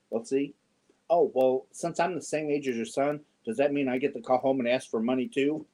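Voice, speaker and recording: A man in his fifties chatting casually with viewers on a yarn livestream, joking and often clearing his throat. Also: medium pitch, moderate pace, mellow. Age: 50s. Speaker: yarn channel host. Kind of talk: casual livestream chat answering viewer comments.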